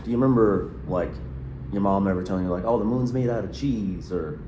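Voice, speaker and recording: Early-30s male co-host, lower voice, rambling and loose; echoey, noisy room recording. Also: brisk, dark tone. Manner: rambling, many fillers and restarts. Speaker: podcast co-host. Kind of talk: casual chat.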